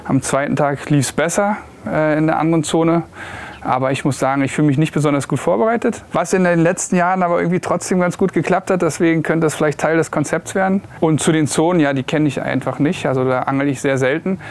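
A young man from Berlin answering interview questions quickly, in a self-deprecating way. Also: on the high side. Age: young adult. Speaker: competitor. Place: outdoor patio.

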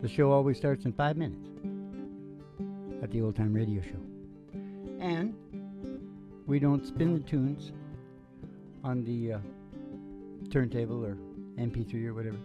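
A middle-aged man hosting a music show with playful, unhurried, rambling stage patter full of joking asides. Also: medium pitch. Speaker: host of a music and comedy show. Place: small dim curtained stage room. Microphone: stand microphone. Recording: guitar playing behind the voice. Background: acoustic guitar.

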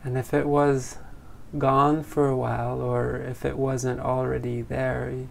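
A man leading a guided meditation in a gentle, unhurried way, leaving long pauses between phrases. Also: mid-range voice, little pitch movement, gravelly, slight background noise. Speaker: meditation teacher.

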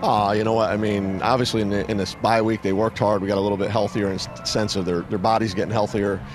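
A middle-aged head coach answers a reporter's handheld mic outdoors on the field at night. He talks quickly in a lowish voice, filling every gap with "you know" and "I mean.". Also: little pitch movement, noisy background. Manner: rapid, filler-heavy with "you know, I mean". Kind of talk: postgame interview.

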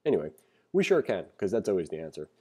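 A man's voice: fairly low pitch, a little mellow, husky. A man giving a read-along programming lesson as a teacher, reading aloud and adding wry, conversational asides.

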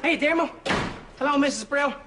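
An actor with an Irish accent playing a dim but eager and hopeful young chancer in a sitcom. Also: male voice, extremely high pitch, a little fast, over a noisy crowd, heavy background noise. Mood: excited. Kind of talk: studio sitcom acting. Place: indoor sitcom kitchen set.

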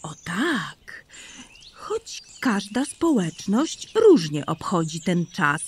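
A loving mother rabbit from a children's audio play, tender and soothing, with a lively rise and fall in her voice, played by a woman. Her slow speech is soft and breathy. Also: lowish voice, heavily acted. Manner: tender and soothing, calls her son endearments.

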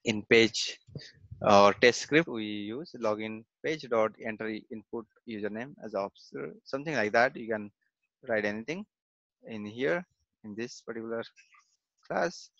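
A man giving a screen-recorded software testing lesson, halting, with many fillers and a habit of saying "okay" and "fine.". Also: lowish voice, no room sound, muffled recording.

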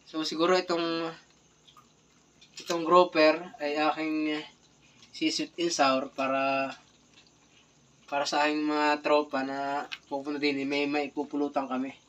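A man's voice: a little high, flat delivery, a little slow, pauses often, fairly bright tone. A man in his thirties from the Philippines, chatting casually to his viewers as he shows off his fishing catch and thanks them over and over. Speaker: vlogger, spearfisher. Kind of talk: casual vlog narration. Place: small tiled kitchen indoors, at night. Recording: distant phone audio with clear background noise.

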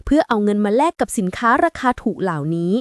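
A woman reading a scripted story aloud as an expressive storyteller. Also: mid-range voice, fast, no room sound, clean studio sound.

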